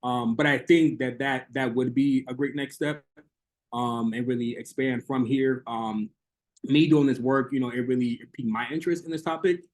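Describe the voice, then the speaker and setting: A man's voice: mid-range voice, steady tone, normal speed. A man presenting research in an academic Q&A on a video call, talking hesitantly with many fillers and restarts.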